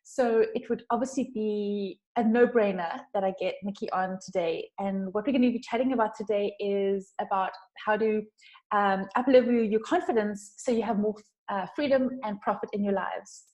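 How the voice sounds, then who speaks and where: A woman's voice: medium pitch, fairly even intonation, moderate pace, a little mellow. A woman with a South African accent hosting a casual, chatty interview in an effusive, emphatic way. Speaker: interview host, branding coach.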